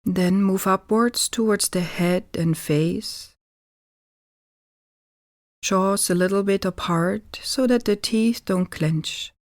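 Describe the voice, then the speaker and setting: A woman guiding a relaxation meditation as a yoga teacher, calm and deliberate, giving gentle instructions with pauses. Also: mid-range voice, dry recording, clean studio sound.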